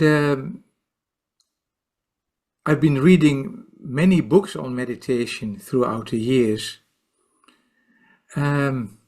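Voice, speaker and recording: Guest, Dutch man, 60s, unhurried, hesitant, lots of fillers and restarts. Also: mid-range voice. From Netherlands. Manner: slow, halting, with many repeated words and fillers. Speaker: guest, meditation teacher and author. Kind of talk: podcast interview.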